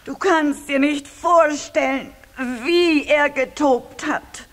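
A woman's voice: high voice, slow pace. An actress playing a poor, lamenting wood-gathering woman in a children's audio play. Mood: upset, lamenting.

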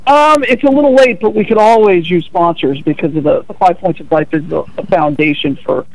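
A man's voice: high voice, a little fast, vocal fry, dry recording, poor audio. A man appearing as a guest on a radio talk show, answering in a hesitant way with many fillers. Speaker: blood bank guest. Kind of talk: casual radio interview.

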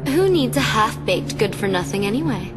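A woman's voice: fairly high pitch, sing-song, moderate pace. An actress playing a young female villain in a video game, sarcastic and taunting. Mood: mocking. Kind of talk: video game cutscene acting. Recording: loud dramatic music underneath.

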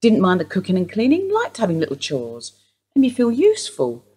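A woman's voice: mid-range voice, huge pitch swings, brisk, mellow, slightly rough. A woman acting a wistful widowed housewife questioned by police in a radio play, rambling through memories. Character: widowed English housewife, Mrs Steele, wistful suspect.

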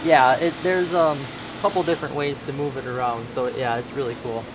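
A man's voice: mid-range voice, fairly even intonation, fairly quick, background noise. A man working as a welding robot operator, talking casually in a large industrial shop, heard from the camera at a distance. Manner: brief, laid-back replies.

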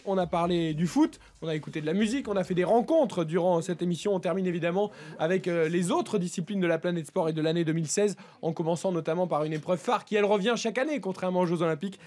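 A man's voice: sits high, fast talker, a little mellow. A man hosting a sports retrospective on the radio, speaking into a studio desk microphone. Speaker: radio sports show host.

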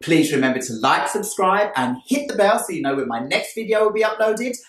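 A man's voice: sits high, animated, a little fast, a bit bright. A middle-aged man talking casually to camera as a plant vlogger, explaining things chattily and repeating his points in other words. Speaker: plant vlogger, amateur gardener. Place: indoors, small bright room by a window. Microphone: camera a few steps away. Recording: echoey room, distant camera mic.

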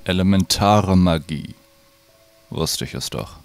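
A man's voice: low-pitched, takes it slowly, creaky, faint music behind, exaggerated voice. An actor playing a stern, greedy old druid, curt and commanding, absorbed in his magic. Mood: pondering.

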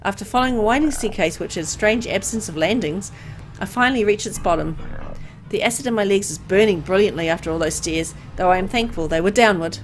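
A woman reading a visual novel aloud as dramatic scripted narration, with an expressive storytelling delivery. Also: medium pitch, fast, faint music behind, poor audio.

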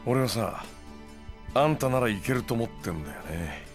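An actor playing a laid-back, streetwise moneylender in a Japanese video game, talking in a casual, nonchalant way. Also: male voice, medium pitch, animated, normal speed, soft background music, close to an everyday voice. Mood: casual, persuasive. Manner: casual, nonchalant, rough-edged.

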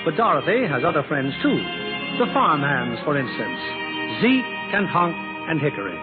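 A man presents a vintage radio show in formal, scripted style. His voice is fairly high and lively in pitch. The old broadcast is muffled, with faint music underneath. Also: moderate pace. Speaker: radio host and narrator.

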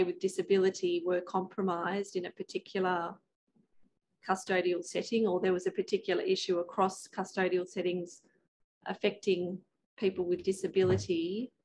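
Even-toned woman asking formal questions. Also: medium pitch, a little hiss or hum, rough recording. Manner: deliberate, careful phrasing. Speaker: counsel questioning a witness. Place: indoor hearing room.

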